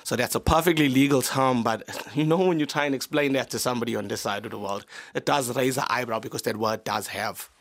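A man's voice: medium pitch, fast, few pauses. A man from South Africa with a South African accent, a comedian guest telling stories openly and laughing often. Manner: open, animated storytelling, laughs often. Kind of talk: casual radio interview. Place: radio studio.

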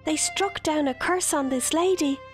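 A woman's voice: sits high, moderate pace, soft background music, pitched above the performer's own voice, close to an everyday voice. A woman voicing an earnest young man in a storybook tale, pleading and remorseful. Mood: urgent.

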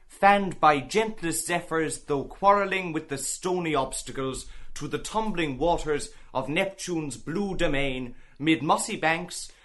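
An actor playing a merry man who mockingly declaims florid prose in a theatrical, drawn-out way, in a literary radio drama. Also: on the high side, fairly bright tone.

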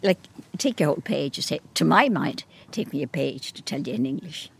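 An elderly Irish woman in her eighties speaks in a documentary interview, reflective and gently teasing. Her voice is gravelly and sits somewhat low, yet it rises and falls with lively expression. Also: fairly quick. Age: 80s.

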